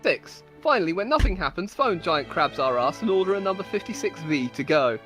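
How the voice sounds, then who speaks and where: Lively woman reading comic tales. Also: fairly low pitch, a little fast, soft background music. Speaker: storyteller reading own stories. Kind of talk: comedic dramatic reading of scripted tales.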